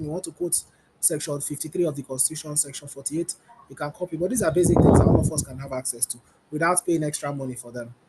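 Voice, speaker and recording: A man, demonstrating software on a webinar panel, loosely repeating himself as he goes. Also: a little high. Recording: loud background noise.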